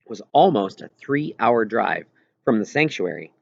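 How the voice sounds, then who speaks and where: A man's voice: lowish voice, very animated, measured pace, vocal fry. A man reading a first-person story aloud as scripted audiobook narration. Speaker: audiobook reader. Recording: roomy echo, slightly dull lo-fi sound.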